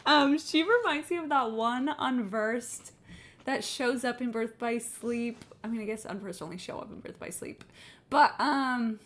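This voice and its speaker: A woman's voice: fairly high pitch, unhurried, a bit bright. A woman chatting casually on a gaming livestream in a rambling, sweary, self-deprecating way. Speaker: live game streamer. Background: game music.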